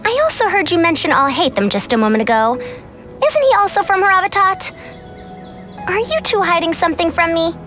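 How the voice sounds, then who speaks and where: A proud, pompous female scholar from a video game. Her voice is high and youthful with very lively swings of pitch, and she speaks in formal, old-fashioned phrases over light music. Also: normal speed, heavily acted. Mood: measured, curious. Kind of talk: scripted video game character acting.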